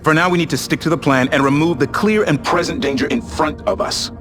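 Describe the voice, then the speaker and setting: A man playing a pragmatic intelligence officer in a game, speaking in a formal, measured briefing manner. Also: fairly high pitch, over music, close to an everyday voice. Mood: sober, cautious.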